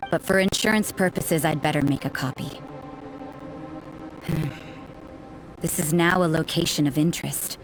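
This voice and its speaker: A woman's voice: fairly low pitch, natural voice, poor audio. An actress playing a cool, cynical woman who patrols for threats, speaking in a dry, dismissive and suspicious way. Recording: backing music.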